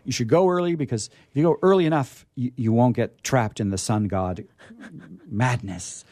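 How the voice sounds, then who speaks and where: A man hosts a casual radio chat in a soft, mellow voice at a middle pitch. He is whimsical and likes to repeat himself. The sound is close and dry. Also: moderate pace, no room sound. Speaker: radio show host. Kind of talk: casual chat, promoting book events.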